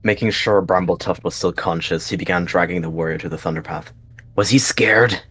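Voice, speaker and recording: A man's voice: low-pitched, steady tone, fast talker, fairly bright tone, breathy edge. A man giving dramatic third-person narration of his character in a roleplayed story.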